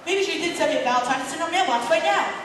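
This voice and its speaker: A woman's voice: very high-pitched, very fast, noisy background. A woman playing a nervous, hapless young boy in a stage musical, singing and fretting aloud. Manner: nervous, self-pitying, rehearses his words aloud. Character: anxious, hapless young boy who is easily flustered. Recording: echoey hall, mic far from the stage. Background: loud musical accompaniment.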